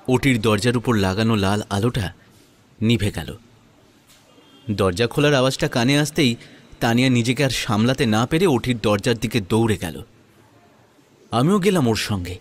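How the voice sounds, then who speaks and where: A man narrating a scripted first-person audio story in a measured, dramatic storytelling manner. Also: mid-range voice, animated.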